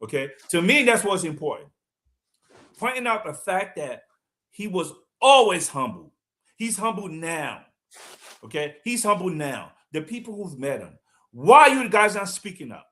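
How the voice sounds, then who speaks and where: A man's voice: sits high, fairly bright tone. A man hosting a talk show, speaking passionately and building to indignant emphasis. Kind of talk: heated talk show commentary.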